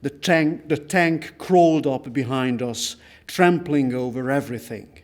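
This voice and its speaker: A man giving a dramatic literary reading of a first-person account, solemn and deliberate, with weighty pauses. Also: mid-range voice. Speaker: reader at a book launch.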